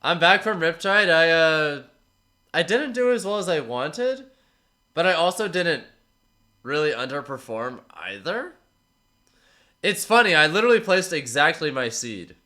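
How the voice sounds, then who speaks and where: A man with a stuffy, congested voice from a cold, chatting casually with his stream viewers and reading out their messages in a relaxed, rambling way. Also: on the high side, a little slow, long pauses. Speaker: streamer analyzing tournament matches.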